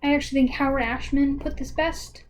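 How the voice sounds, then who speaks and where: High-voiced young woman, chatty video essayist. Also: level tone, brisk, slight background noise. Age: young adult. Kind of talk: casual video essay commentary.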